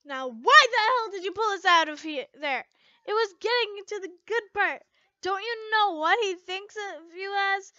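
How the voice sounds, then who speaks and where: A woman's voice: very high voice, expressive, a little slow, sharp tone, no echo, voice pushed up, heavily acted. A woman voicing a pushy, complaining teenage girl, the insistent best friend in a story. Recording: muffled lo-fi home recording.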